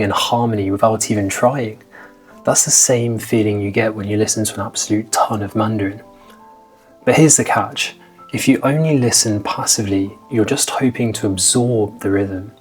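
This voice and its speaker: A man in his twenties, a language-learning vlogger, talking in an upbeat, motivational style. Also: on the low side. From Britain. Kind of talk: scripted vlog narration.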